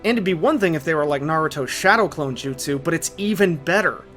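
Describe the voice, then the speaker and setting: Man, fairly high voice, scripted video essay narration, emphatic storyteller, music in the background. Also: animated, brisk, fairly bright tone. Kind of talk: scripted narration, anime analysis.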